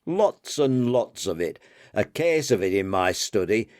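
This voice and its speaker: A man's voice: on the low side, big pitch swings, unhurried, darkish tone, no room sound, clean studio sound. A man voicing a blustering, rambling old man who is playing host in an audiobook. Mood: rambling, dazed. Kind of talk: audiobook character dialogue.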